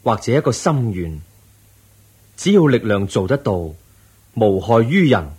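Radio play wise, kindly holy man, Hong Kong Cantonese accent, very breathy husky voice, unhurried delivery with wide, expressive intonation. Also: medium pitch, heavily acted. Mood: wise, reassuring. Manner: measured, reassuring, sage-like. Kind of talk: Cantonese-language Buddhist radio drama acting.

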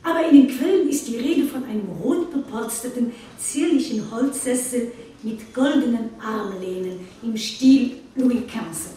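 A woman's voice: a little high, unhurried, dark tone, lightly acted. A woman playing a chatty, proud museum guide giving an informal tour in a radio play. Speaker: exhibition guide. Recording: very echoey room, distant, faint noise.

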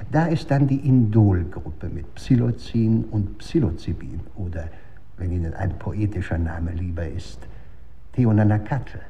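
An actor plays a morbid, cynical man of science with a fairly low voice, delivering radio-drama lectures in with cool relish. The recording is slightly muffled, with faint noise underneath. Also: dark tone, lightly acted. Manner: lecturing, savours technical terms, cynical. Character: man coolly relishing chemical weapons, pedantic morbid expert. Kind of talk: German radio drama acting. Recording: dull, noisy old radio recording.

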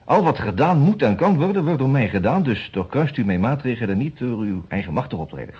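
A man acting a formal, cautious lawyer in a radio detective play, speaking in measured, businesslike phrases with hesitant pauses. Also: mid-range voice, sing-song, slightly rough. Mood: firm, formal. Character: formal, cautious male defence lawyer who also narrates. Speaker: actor in a radio detective serial. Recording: dull, muffled old radio recording.

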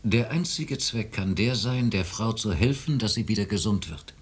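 A man playing a self-righteous, domineering chief psychiatrist in a radio drama, authoritative and dismissive, with a husky voice. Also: lowish voice, moderate pace, slight background noise, natural voice. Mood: irritated, insistent.

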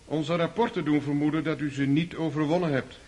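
Man playing stern freemason inquisitor, fairly high male voice, formal, admonishing, radio drama. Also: steady tone, brisk, a little mellow, close to an everyday voice. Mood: stern, probing. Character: stern, righteous freemason lodge brother, interrogating.

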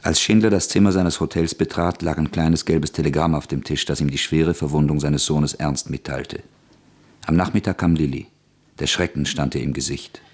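A man narrating a radio drama in a husky voice, in a steady scripted style. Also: a bass voice, moderate pace.

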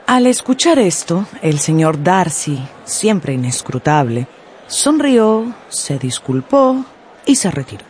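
Low, mellow woman narrator. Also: slow pace, a little hiss or hum. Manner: expressive storytelling. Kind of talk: scripted radio drama narration.

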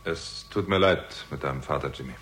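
A man's voice: rumbling low, a little fast, fairly bright tone. An actor playing a weary, bitter theatrical agent who narrates his own story in a terse, sardonic way in a 1960s German crime radio drama. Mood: bitter, accusing.

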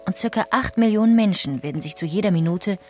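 A woman reading scripted documentary narration in a measured, factual manner. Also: medium pitch, expressive, a little mellow, soft background music.

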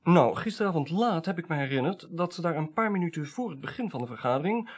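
Higher-voiced, courteous parliamentary clerk. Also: male voice, expressive, fast, husky. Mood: polite, earnest. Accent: Dutch from the Netherlands. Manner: formal, polite, precise. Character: courteous male parliamentary clerk giving witness testimony. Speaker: actor in a radio play. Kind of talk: 1980s radio drama acting.

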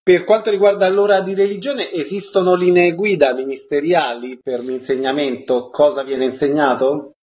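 Male radio presenter and interviewer, voice a bit high, slightly lo-fi recording. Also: level tone, moderate pace, hardly any pauses.

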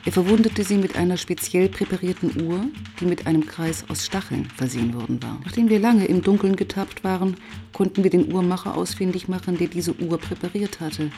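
A determined female police inspector played by an actress in a radio crime drama. Her voice sits low, and she tells and probes her case in a sober, exact way. Soft music runs behind her. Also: a little fast, lightly acted. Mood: sober narrating. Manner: sober, precise, probing. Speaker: narrator and lead detective.

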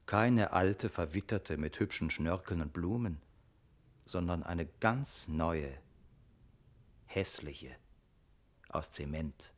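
A sober narrator in a literary radio play. He has a deep voice and a very slow, deliberate delivery that sounds reflective and thoughtful. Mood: grave, musing. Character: sober, reflective male narrator introducing a tragic tale.

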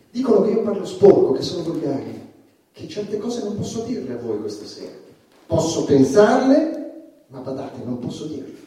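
An actor playing a provocative, agitated stand-up satirist on trial, delivering an emphatic theatrical monologue that swings between muttering and shouting. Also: male voice, sits high. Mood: indignant. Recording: echoey, distant stage recording with music.

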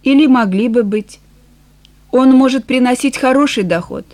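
A woman's voice: high-pitched, moderate pace, a little mellow, no room sound, lightly acted. A woman voicing a downcast, hesitant middle-aged shop woman in an audiobook, subdued in manner. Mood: glum, reserved. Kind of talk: audiobook character dialogue.

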